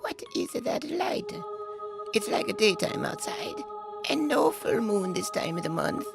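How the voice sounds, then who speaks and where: A woman's voice: fairly high pitch, huge pitch swings, measured pace, gravelly, music in the background, exaggerated voice. A woman voicing a very old, fussy woman who mutters to herself in an audio drama. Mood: muttering, wondering. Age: older.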